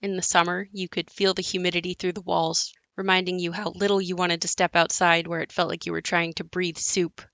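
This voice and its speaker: A woman performs a grown daughter looking back on a run-down family house in an audio play. Her voice is on the low side and recorded dry and close. She tells it like a reflective monologue, with wry understatement. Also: flat delivery, a little fast, vocal fry, hardly any pauses, fairly bright tone, no echo. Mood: wistful. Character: wry grown daughter narrating memories of her crumbling childhood home.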